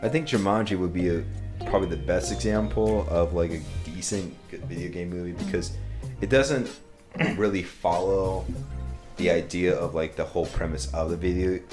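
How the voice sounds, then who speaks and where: Brief: low-pitched male podcast co-host, loose casual delivery, clear guitar music underneath. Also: normal speed. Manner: loose, filler-heavy, frequent "like". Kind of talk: casual chat.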